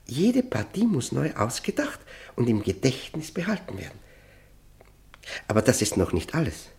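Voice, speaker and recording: An actor playing an obsessed, thirst-tormented prisoner who is losing his mind, in an intense, feverish radio drama performance with halting repetitions. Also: medium pitch. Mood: agitated, intense. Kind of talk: German radio drama monologue and dialogue.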